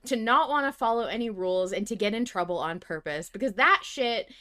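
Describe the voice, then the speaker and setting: Woman, podcast co-host, casual debate, emphatic, opinionated, mock-stern, swears freely, mid-range pitch. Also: animated, brisk, sharp tone.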